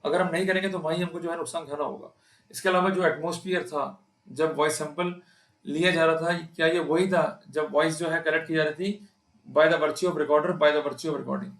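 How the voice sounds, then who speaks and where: A man's voice: a little high, steady tone, normal speed, a bit bright. A man with an Indian accent giving an explanatory law lecture, speaking emphatically and addressing his listeners directly. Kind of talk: explanatory law lecture to camera, Hindi mixed with English. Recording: echoey, reverberant room.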